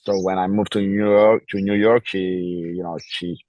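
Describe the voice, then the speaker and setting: A man in his forties with a Greek accent, speaking earnestly and reflectively as a DJ guest in a casual interview, often pausing to search for words. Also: deep voice, flat delivery, a little mellow, dry recording, lo-fi sound. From Greece. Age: 40s.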